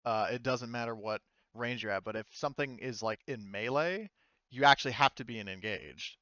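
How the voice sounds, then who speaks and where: A man runs a tabletop roleplaying game in a casual, informal manner. His voice is smooth and clear at a middling pitch, and the sound is very dry and a little dull. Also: a little fast, bright, forceful tone, dry recording. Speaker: game master. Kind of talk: casual tabletop game narration and rules talk.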